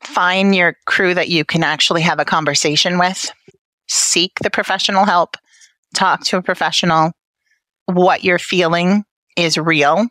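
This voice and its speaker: A woman's voice: low voice, pushed and bright, no room sound. A woman with a Brooklyn accent speaking candidly and wryly in a personal interview. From Brooklyn, New York, United States. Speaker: interview guest, patient family advocate.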